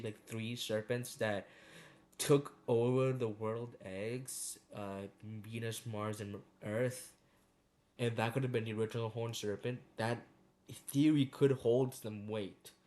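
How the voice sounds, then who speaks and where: A man's voice: fairly low pitch, a little mellow. Casual, rambling man giving commentary. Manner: informal and slangy with casual swearing. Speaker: video creator ranking horror series.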